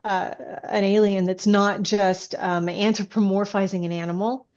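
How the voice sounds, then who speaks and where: A woman's voice: medium pitch, little pitch movement, normal speed, darkish tone, muffled recording. A woman speaking as an interviewed author, chatting informally and telling stories with frequent asides. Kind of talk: casual conversational interview.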